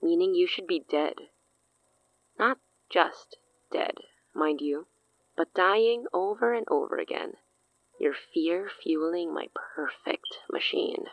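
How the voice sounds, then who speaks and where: A woman's voice: on the low side, takes it slowly, dry recording, dull audio. A woman playing a smug, menacing sorceress in an audio drama, theatrical and taunting with amused condescension. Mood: gloating menace.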